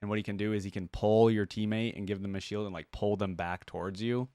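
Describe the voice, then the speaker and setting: A man's voice: lowish voice, steady tone, quick pace, dark tone, clean tone, dry recording, clean studio sound. A man hosting a reaction video, giving casual, musing commentary with short murmured responses.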